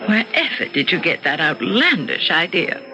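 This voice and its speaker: An actress playing a scheming, bored wife in an old-time radio suspense drama, theatrical, genteel and confiding. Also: female voice, fairly low pitch, sing-song, normal speed. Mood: evasive, polite. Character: scheming, bored wife narrating her murderous plans. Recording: band-limited radio drama with loud music.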